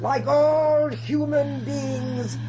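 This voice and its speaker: An actress playing a solemn high priestess in a radio drama, theatrical and commanding, as if reciting an incantation. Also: female voice, steady tone, drawn-out words, over music. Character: solemn high priestess leading a death ritual.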